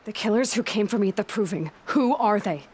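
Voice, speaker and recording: A woman's voice: mid-range voice, expressive, a little fast, darkish tone, slight background noise, lightly acted. A woman playing a blunt young huntress. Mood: determined. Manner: direct, probing questions. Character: determined young woman hunter, blunt and focused. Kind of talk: video game voice acting.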